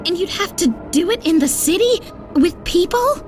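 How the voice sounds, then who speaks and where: A woman's voice: very high-pitched, expressive, normal speed, faint music behind. A woman playing a reproving confidante in a comic dub, incredulous and objecting. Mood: alarmed, incredulous. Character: alarmed, reproving female confidante of a schemer. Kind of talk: dramatic comic dub acting. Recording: noticeable background noise.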